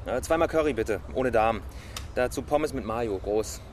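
An actor playing a terse, matter-of-fact senior police detective in a radio crime drama. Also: male voice, medium pitch, animated, normal speed, lightly acted. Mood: relaxed, brisk. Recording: loud urban street noise.

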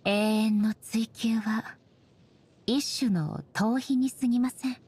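A woman voicing a dignified, ageless shogun goddess in a game, speaking softly in formal, measured, polite phrases. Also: on the high side, slightly rough, full character voice. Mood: solemn, measured. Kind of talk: scripted game character voice lines.

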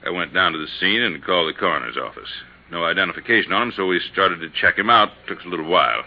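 An actor playing a plain-spoken, laconic American police sergeant in a 1950s radio drama. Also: male voice, low voice, quick pace, vocal fry. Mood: matter-of-fact. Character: male police sergeant, plain-spoken, easygoing, matter-of-fact. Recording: muffled, noisy 1950s radio recording.

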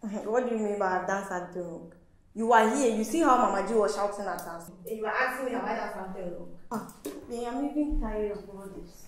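A woman's voice: medium pitch, brisk, natural voice. An actress with a Nigerian accent playing a bossy, hot-tempered young woman in a screen drama. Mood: indignant. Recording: echoey room, faint noise.

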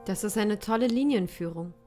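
A woman's voice: mid-range voice, normal speed, over music, lightly acted. A woman in her thirties playing a chatty, conversational woman in an improvised audio drama. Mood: curious, friendly. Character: chatty woman in a sauna recounting an encounter. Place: small home studio, indoors. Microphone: close studio condenser mic.

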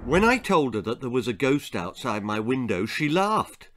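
A man's voice: mid-range voice, sing-song, normal speed, background noise. A man in his sixties reading a children's ghost story aloud with theatrical suspense. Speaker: author reading own stories. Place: small book-lined room indoors.

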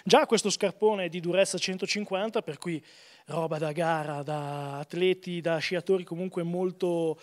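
A man's voice: high-pitched, steady tone, moderate pace. A middle-aged male host interviews a brand representative about ski boots, presenting in a promotional tone with a handheld mic. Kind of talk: product interview. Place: indoor ski test booth, wooden backdrop.

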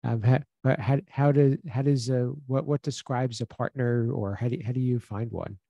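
A man in his forties with a mellow, warm voice at a middle pitch, co-hosting a relaxed podcast from a home office. He circles his questions with stops and restarts, speaking close into a boom mic that leaves the sound dry. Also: vocal fry, no room sound, dull audio. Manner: halting, rambling questions with many restarts. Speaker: podcast co-host. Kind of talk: casual conversational podcast. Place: home office on a video call. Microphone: boom podcast mic close to mouth.

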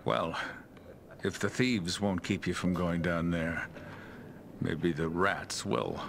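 A man's voice: low voice, fairly even intonation, slow pace, creaky, noisy background, heavily acted. A man playing a wary but friendly middle-aged tavern regular in a fantasy video game, familiar and cautioning. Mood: wary, warning.

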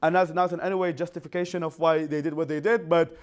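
A man in his thirties from Iraq, an English speaker, giving an animated university lecture with frequent restarts, recorded in a classroom from a camera at some distance. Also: fairly high pitch, fast talker, clear, resonant tone. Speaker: guest speaker.

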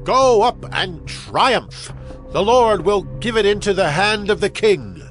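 A man playing a defiant, solemn prophet in a dramatized audio Bible, proclaiming in drawn-out declamation. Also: sits high, expressive, a bit bright, soft background music, full character voice. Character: Micaiah, a defiant, solemn male Hebrew prophet.

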